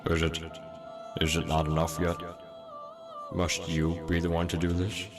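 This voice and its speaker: A grave old man from a fantasy game, played by an actor, with an extremely low voice. He sounds weary and sorrowful, in long reverb with music underneath. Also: monotone, a little slow. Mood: weary pleading. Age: older. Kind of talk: dramatic game voice acting.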